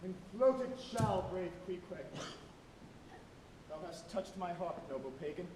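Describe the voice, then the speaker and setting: A man's voice: high voice, lively intonation, measured pace. A male stage actor playing an obsessed, vengeful old whaling captain, declaiming solemnly in archaic language in a theatre tragedy. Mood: moved, bitter. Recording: noisy, echoey live auditorium recording.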